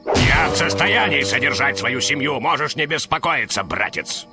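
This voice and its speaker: An actor playing a proud, stern, burly middle-aged farmer in a dubbed cartoon, earnest and firm. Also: male voice, mid-range voice, expressive, normal speed, creaky. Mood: defensive. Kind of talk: animated film dub. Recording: music behind the voice.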